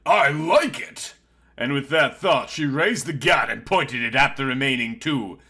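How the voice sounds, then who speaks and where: A man's voice: mid-range voice, normal speed, sharp tone, husky. A man reading a story aloud as narrator, in a dramatic scripted storytelling style. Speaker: narrator reading a novel chapter.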